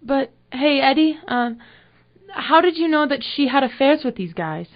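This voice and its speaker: A woman's voice: high voice, expressive, normal speed. A woman playing a sweet, smitten young confidante of a detective in a noir audio drama. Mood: sympathetic, curious. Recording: dull lo-fi recording.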